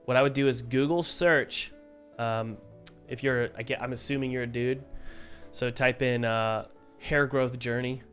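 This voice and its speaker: Hoarse man chatting on a live stream. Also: mid-range voice, fairly even intonation, unhurried, fairly bright tone, soft background music. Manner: chatty, loose, many fillers and restarts. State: hoarse, coughing from a cold. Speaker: indie game developer and live-stream host. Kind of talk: casual live-stream chat answering viewers. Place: home studio. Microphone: studio mic.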